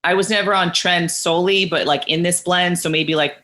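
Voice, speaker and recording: A candid woman guest with a naturally low voice talks in loose, rambling bursts, full of likes and restarts. Her tone is bright and forward, and she comes through a home video-call mic. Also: fairly even intonation, a little fast. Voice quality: naturally deeper voice. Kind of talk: candid casual interview. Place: living room at home.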